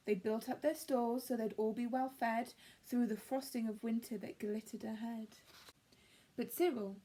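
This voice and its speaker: A woman reading a rhyming picture book aloud to young children, with dramatic expression and hushed word endings. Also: a little high, husky, rough recording. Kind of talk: expressive rhyming story narration.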